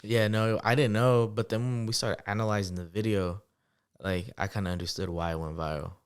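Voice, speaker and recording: Twenties male guest, low and quick, loose chatty delivery. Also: clean tone. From United States, Salvadoran family. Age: 20s. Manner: relaxed storytelling with many fillers. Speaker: guest entrepreneur. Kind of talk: casual podcast interview.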